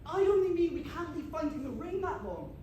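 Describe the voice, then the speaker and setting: Woman doing a vain scheming hobbit hero, hammy panto style, high voice, recorded far off in a noisy echoey theatre. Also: very animated, fairly quick, mellow, muffled recording. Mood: urgent. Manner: hammy, melodramatic asides to the audience. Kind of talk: amateur stage pantomime acting. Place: theatre stage, miked from a distance.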